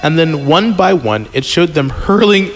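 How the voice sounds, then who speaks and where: Guy with a highish voice reading a story on a podcast, stumbles n chuckles, music loud behind him. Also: expressive, moderate pace. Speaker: podcast host and reader. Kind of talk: reading a story aloud with casual joking asides. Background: prominent background music.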